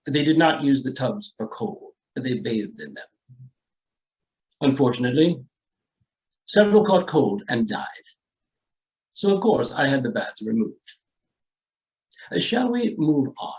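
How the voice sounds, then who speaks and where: An actor playing an aloof, genteel and coolly ruthless estate owner in a radio drama, with formal, hesitant delivery. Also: male voice, mid-range voice, big pitch swings, darkish tone. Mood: haughty, dismissive. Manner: pompous, formal, hesitant pauses. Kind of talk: old-time radio drama acting.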